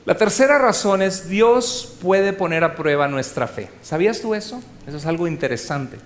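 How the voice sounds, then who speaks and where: Guy preaching, kinda high voice, gets loud then drops soft, keeps asking the crowd questions. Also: expressive, normal speed. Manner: emphatic, rhetorical questions to the congregation; swings between loud exhortation and soft asides. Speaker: pastor. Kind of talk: sermon.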